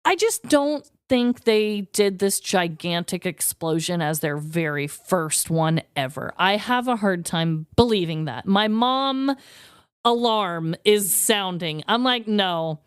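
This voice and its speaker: A woman podcast host tells a story casually and emphatically. Her voice is smooth and clear with very lively pitch, recorded close and dry. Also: slow, dry recording. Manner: emphatic, slangy, informal. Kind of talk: casual comedic storytelling chat.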